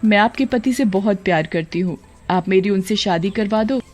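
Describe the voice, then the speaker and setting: A woman's voice: mid-range voice, normal speed, a little mellow, lightly acted. A woman voicing a witch disguised as a bold young woman in a cartoon, coaxing and insistent. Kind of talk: Hindi cartoon story voice acting. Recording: background music.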